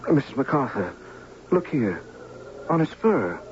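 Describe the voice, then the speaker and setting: An actor playing an earnest, adventurous young oil heir with intense, expressive 1970s radio drama delivery. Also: male voice, medium pitch, unhurried, a little hiss or hum. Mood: alarmed. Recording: dull 1970s radio recording with music.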